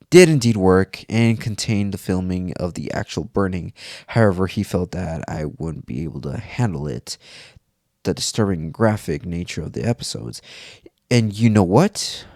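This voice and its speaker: A man's voice: on the low side, creaky, a little mellow, dry recording, clean studio sound. A man narrating a scripted first-person horror story as if it were his own account.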